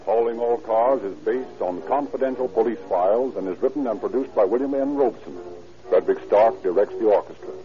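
A man's voice: fairly low pitch, brisk, music in the background. A man narrating and announcing in a formal, emphatic 1930s radio style. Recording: noisy, muffled 1930s radio recording.

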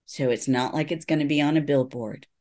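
A middle-aged man, a psychologist guest, explaining things in an earnest, reassuring, measured way in a conversational interview. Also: fairly high pitch, darkish tone.

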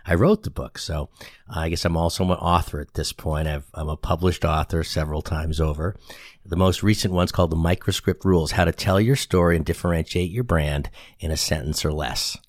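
Deep-voiced man in his sixties. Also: fairly even intonation, fairly quick, dry recording, clean studio sound. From Boston area, USA. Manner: rambling, self-deprecating, fillers and restarts. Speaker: guest, brand specialist and author. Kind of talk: casual conversational interview. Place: studio in San Antonio.

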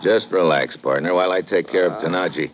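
An actor playing a tough, wry American secret agent in an old-time radio drama, terse and slangy in dialogue and narration. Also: male voice, low-pitched, a little fast, crowd noise, natural voice. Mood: wry, in control. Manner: terse, slangy, hard-boiled. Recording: muffled, noisy vintage radio broadcast.

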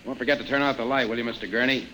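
An American actor playing a plain-spoken, friendly night security guard in a 1950s radio drama, casual and folksy, on an old recording. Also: male voice, mid-range voice, steady tone, very fast, bright, forceful tone, slight background noise, lightly acted, rough recording. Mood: easygoing.

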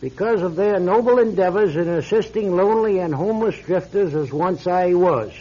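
An actor playing a polite, fussy lawyer in a classic radio drama, speaking formally and hesitantly. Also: male voice, high-pitched, normal speed, dark tone. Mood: formal, measured. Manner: formal, hesitant, throat-clearing. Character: polite, fussy male lawyer reading a will. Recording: dull lo-fi radio recording.

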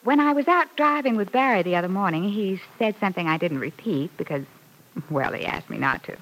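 A woman's voice: medium pitch, lively intonation, a little fast, a bit bright, low-quality recording. A woman playing a pert, scheming young working woman in an old-time radio soap opera, coy and insinuating. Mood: coy, encouraging. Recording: vintage radio recording.

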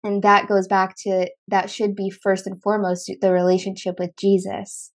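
A woman's voice: medium pitch, monotone, normal speed. A woman hosting a podcast, talking casually with lots of "like" and filler words. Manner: chatty, filler-heavy, frequent "like". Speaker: podcast host. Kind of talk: casual conversation.

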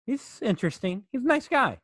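A man's voice: extremely high pitch, sing-song, a little fast, a bit bright, no room sound, studio quality. A man chatting casually as a comedy podcast host, loose, rambling and jokey.

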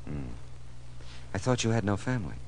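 An American actor playing a wry, world-weary private detective in a clipped, hardboiled 1940s radio style. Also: male voice, lowish voice, sing-song, normal speed, slight background noise, low-quality recording. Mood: pressing. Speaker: lead actor and narrator. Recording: old radio show with music.

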